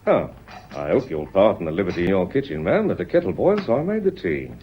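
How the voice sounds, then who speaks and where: A wily police inspector in a radio mystery. His deep voice swings widely in pitch as he chats politely and disarmingly. The recording is dull and muffled. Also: big pitch swings, slight background noise. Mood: genial, chatty. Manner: chatty, polite, deliberately disarming. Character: genial, wily male police inspector, quietly probing. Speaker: actor in a radio play. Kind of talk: radio mystery drama acting.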